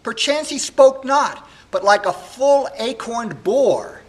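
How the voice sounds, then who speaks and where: Guy doing a bitter heartbroken young husband, shakespeare stage monologue, raised pitch, slow and forceful. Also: animated, heavily acted. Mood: anguished, outraged. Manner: declamatory, bitter, emphatic theatrical delivery.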